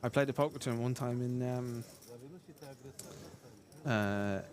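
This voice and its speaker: A man's voice: medium pitch, unhurried. An Irish-accented man giving casual poker commentary, telling anecdotes in a chatty, hesitant way. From Ireland.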